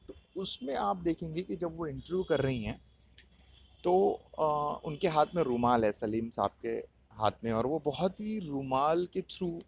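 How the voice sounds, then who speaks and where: Casting: male podcast host, Indian accent, mid-pitched voice, casual solo commentary with a halting, explanatory delivery. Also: slightly rough, dull audio. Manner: hesitant, explanatory, repeats points.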